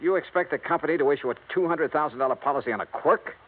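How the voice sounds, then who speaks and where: An actor playing a blunt, hardboiled insurance investigator in a 1950s radio drama, asking brisk, dry questions. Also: male voice, fairly high pitch, close to an everyday voice. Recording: tinny lo-fi 1950s radio broadcast with faint hiss.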